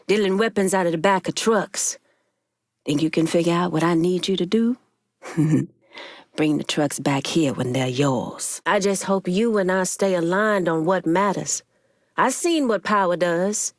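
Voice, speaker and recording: A woman's voice: medium pitch, no room sound, lightly acted. Woman acting a commanding, street-tough lady gang boss, dry sound. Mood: scornful, commanding, then suspicious, angry. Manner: stern, deliberate, street-tough and profane. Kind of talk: video game character dialogue.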